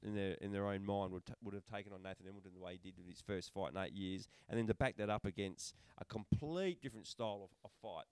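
Low-voiced, smooth-toned middle-aged man. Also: fast talker, few pauses, a little mellow, dry recording. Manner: enthusiastic recaps, many restarts and fillers. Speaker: podcast co-host. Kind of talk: casual fight commentary chat.

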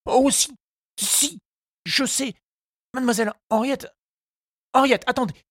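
Man voicing a high, flustered young suitor. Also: lively intonation, moderate pace, no echo, studio quality. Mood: flustered, ardent. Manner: hesitant, deferential, stammering.

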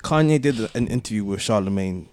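A man's voice: lowish voice, animated, a little fast, a little mellow. A man co-hosting a casual podcast discussion, thinking aloud in a tentative way. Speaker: podcast co-host.

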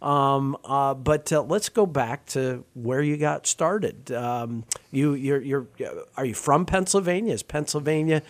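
An American man hosting a casual conversational interview, phrasing his questions in a halting way with restarts. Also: mid-range voice. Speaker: interview host, business association president.

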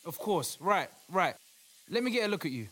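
A man's voice: high voice, sing-song, moderate pace, a bit bright. An actor playing an earnest, devout male doctor who takes charge in an audio play. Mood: urgent. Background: music.

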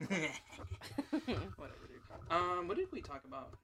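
A man's voice: high voice, very animated, slow pace, bright, forceful tone, slight background noise. A man co-hosting a podcast in casual chat, joking and bantering playfully with friends. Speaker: podcast co-host. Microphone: handheld or adjustable podcast mic, held close.

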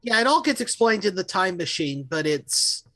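A man's voice: high voice, very animated, normal speed, sharp tone. Man in a wry, rambling review chat. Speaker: podcast host reviewing audio dramas. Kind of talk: casual panel review chat.

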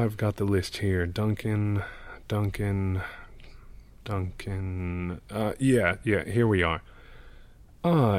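A man's voice: on the low side, a little mellow. A man playing a gloomy, cynical old mural painter in an audio drama, speaking in a sardonic, world-weary manner. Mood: dry, sardonic. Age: older.

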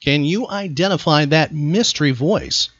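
A man's voice: a little high, unhurried, fairly bright tone, a little hiss or hum. A man hosting a podcast, switching between quiz questions and casual chat. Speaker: podcast host. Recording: slightly dull audio, music behind. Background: background music.

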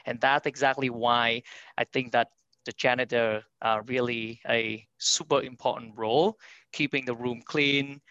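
A man's voice: medium pitch, fairly even intonation, measured pace, fairly bright tone, dry recording. Man from Vietnam, dull dry audio. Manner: frequent fillers, non-native grammar. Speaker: company founder and CEO. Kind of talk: podcast interview.

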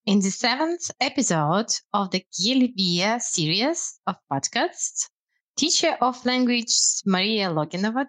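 A woman whose first language is Russian, a language lecturer explaining learning tips in a measured way on a podcast. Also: medium pitch, a bit bright, no echo. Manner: measured, explanatory, with some hesitant fillers.

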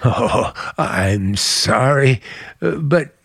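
An actor playing a charming but secretive and bitter uncle in an old-time radio drama, hesitant one moment and sharp the next. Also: male voice, medium pitch, animated, very slow, gravelly, breathy edge, dry recording, clean studio sound, exaggerated voice. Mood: flustered, evasive.

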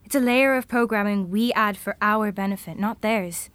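A woman's voice: mid-range voice, moderate pace, fairly bright tone, clean tone, no echo, lightly acted. A woman playing a thoughtful, idealistic futurist in an audio drama, speaking in a reflective, earnest manner.

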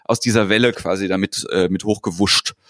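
A man hosting a casual podcast, telling stories in a relaxed way with dry humor. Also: on the low side, fairly quick, no room sound.

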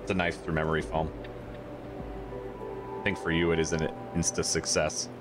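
A man's voice: rumbling low, flat delivery, moderate pace, music in the background. A man running a tabletop game as game master, explaining rules and reading tells with amused ease.

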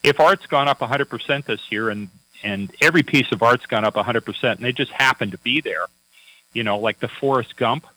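A man's voice: medium pitch, a little fast, bright, forceful tone, dry recording, low-quality recording. A man working as a financial advisor, chatting on a radio talk show in a folksy, anecdotal way with many fillers and restarts.

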